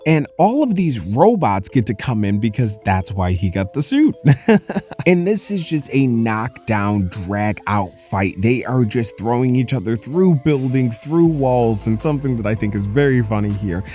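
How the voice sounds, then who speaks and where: A man hosting a casual commentary recap, telling the story in an animated, conversational way. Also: medium pitch, unhurried. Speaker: comics recap host. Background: music.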